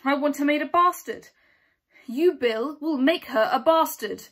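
A young woman acting an imperious, vengeful queen in a dramatic monologue, haughty and commanding with deliberate pauses. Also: high voice, a bit bright. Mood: scornful, demanding. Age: teenager or young adult. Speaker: student performer. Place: small plain indoor room.

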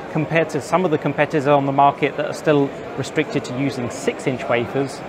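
Man presenting on a noisy trade show floor. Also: on the high side. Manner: clear, informative presenting to camera. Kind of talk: scripted trade show reporting. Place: busy indoor trade show hall. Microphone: lapel mic.

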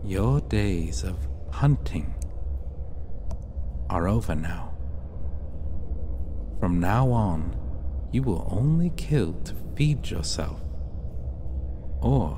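A man acts out a vain male vampire captor in a dark fantasy ASMR roleplay. He is possessive and menacing, drawling very slowly in a mellow, theatrical voice with condescending pauses. A faint noise sits behind him. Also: low-pitched, animated, full character voice.

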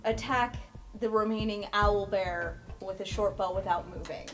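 A woman playing a tabletop game, talking casually in a halting, tentative way. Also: medium pitch. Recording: music behind the voice.

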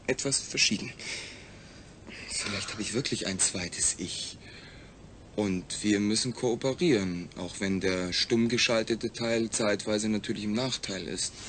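A man acting in a German audio drama as a calm, rational astronaut, speaking in a measured, explanatory way. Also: lowish voice, a little hiss or hum.

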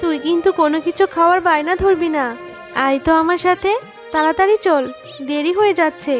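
A woman voicing a poor, worried, gentle widowed fox mother who pleads and explains patiently in a children's cartoon. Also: very high voice, fairly quick, soft background music. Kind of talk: Bengali children's cartoon dubbing.

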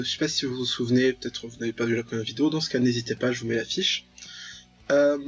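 A man's voice: mid-range voice, husky. A man giving casual, joking gameplay commentary as the host of a gaming video. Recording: dull, lo-fi mic, music behind. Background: game music.

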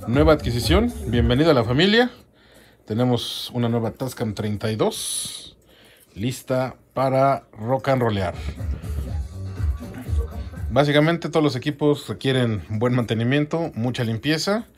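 A man's voice: mid-range voice, animated, a little slow, faint music behind. A man hosting a video, explaining in a casual, conversational way as he gives a hands-on demonstration. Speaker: video host and audio gear collector.

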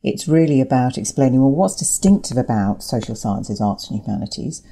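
Very low-voiced woman, mellow and husky. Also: lively intonation, fairly quick. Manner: emphatic, articulate, builds points through repetition. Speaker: interview guest, academic leader. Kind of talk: explanatory interview answers.

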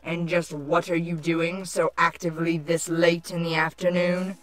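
A woman's voice: fairly low pitch, flat delivery, normal speed, a crowd in the background, natural voice, low-quality recording. A woman voicing a haughty, irritable changeling queen villain, sardonic and scolding, in a cartoon-style fan audio drama. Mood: irritated.

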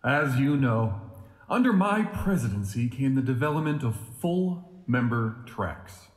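A man playing a charismatic organization president with veiled menace, giving a deliberate, grandiose podium speech in an audio drama. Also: a little high. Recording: echoey hall with long reverb.

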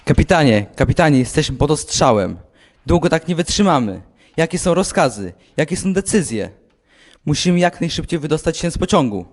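A man's voice: fairly high pitch, big pitch swings, fairly quick. A man acting an anxious, swooping young soldier. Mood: anxious, urgent. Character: anxious male soldier pleading for orders under fire. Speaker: amateur actor in a school audio drama. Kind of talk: scripted war radio drama dialogue.

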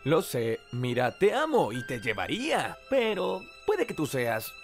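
A man playing a flustered, high-strung cartoon dad. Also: wildly swooping pitch, unhurried. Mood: awkward. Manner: exasperated, hesitant, prone to panicked yelps. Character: anxious, flustered young office-worker father of a demon child. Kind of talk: animated comedy fan dub. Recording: music behind the dialogue.

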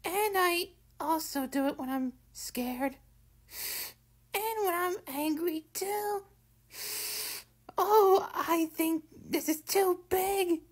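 A woman's voice: extremely high pitch, takes it slowly, long pauses. A woman voicing a bubbly, anxious cartoon pufferfish in a cutesy, apologetic manner. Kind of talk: scripted character voice lines.

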